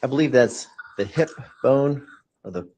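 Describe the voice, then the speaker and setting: A man's voice: medium pitch, expressive, normal speed, darkish tone, breathy edge, highs cut off. A man giving expert testimony in a courtroom, hesitant and full of fillers, explaining technical terms carefully. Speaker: expert witness.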